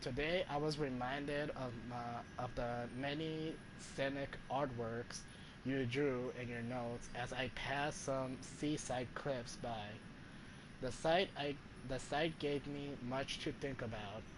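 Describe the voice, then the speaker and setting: A man live streaming a game, reading story text aloud haltingly, often stumbling and correcting himself. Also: mid-range voice, steady tone, noisy background. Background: game music.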